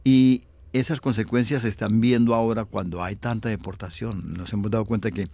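A man's voice: lowish voice, fairly even intonation, fairly quick, dark tone, no room sound. A man hosting a Spanish-language community radio talk show, conversational with brief interjections. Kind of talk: radio talk and read advertisements.

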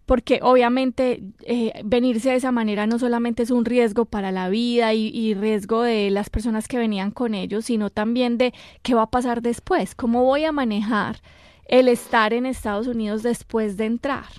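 A woman from Colombia with a Colombian accent, an immigration lawyer explaining cases on a radio talk show in a measured way with many fillers. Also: on the high side, steady tone, darkish tone, no room sound, clean studio sound. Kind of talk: radio call-in advice talk.

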